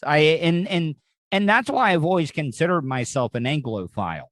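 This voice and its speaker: A man's voice: a little high, lively intonation, moderate pace, fairly bright tone, dry recording, clean studio sound. An American man hosting a podcast, talking in a rambling way and often repeating and restarting his words, recorded outdoors outside a hospital. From United States. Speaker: podcast host. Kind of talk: casual podcast chat.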